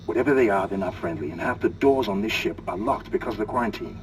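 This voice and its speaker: An actor playing a gruff, authoritative security officer in a sci-fi video game, urgent but controlled. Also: male voice, lowish voice, quick pace, recorded from a distance, a little hiss or hum, close to an everyday voice. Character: gruff commanding male security officer on a besieged spaceship. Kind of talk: video game dramatic acting.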